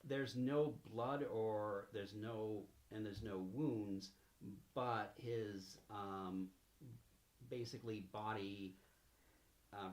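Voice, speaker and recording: Deep male voice, halting game master narration, echoey room, background noise. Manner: halting, searching delivery. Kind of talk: narrating a tabletop roleplaying game. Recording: roomy echo, faint-to-clear noise.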